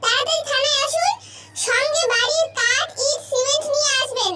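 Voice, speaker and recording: A bossy police chief , played by a woman, barks accusations in an extremely high, childlike squeak. The manner is brusque and comic, over clearly noisy audio. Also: brisk. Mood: bossy, accusing. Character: bossy police station chief making a prank call. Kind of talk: comedy skit acting.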